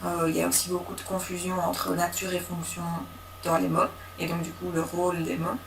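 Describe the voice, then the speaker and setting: A woman's voice: lowish voice, monotone, fairly quick, gravelly, roomy sound, far from the mic, background noise. A woman listing grammar difficulties in a hesitant way. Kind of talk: interview answer.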